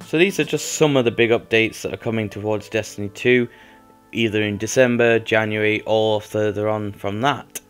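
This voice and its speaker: A young man with a lowish voice, a bit croaky from a cold. He rambles casually as a gaming channel host, full of fillers, over gameplay footage. Faint music plays softly behind his voice-over. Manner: chatty, rambling, lots of fillers.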